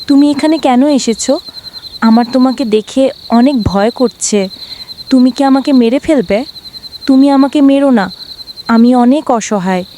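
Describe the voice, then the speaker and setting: A woman's voice: moderate pace, long pauses, mellow, slight background noise, lightly acted. A woman voicing a small mistreated girl who sounds frightened and pleading in a cartoon. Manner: high, pleading and tearful. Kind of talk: cartoon voice acting.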